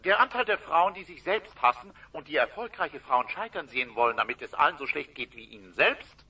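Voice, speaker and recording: An actor playing a slick, overeager dinosaur news reporter, with emphatic announcer delivery in a parody audio play. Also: male voice, fairly high pitch, lively intonation, brisk, pushed and bright, husky, full character voice. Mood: mock-serious.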